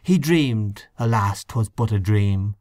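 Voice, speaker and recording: A man's voice: fairly low pitch, expressive, takes it slowly, a little mellow, no echo, studio quality. A man reciting as a solemn poet. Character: solemn Irish loyalist reciting a mournful poem.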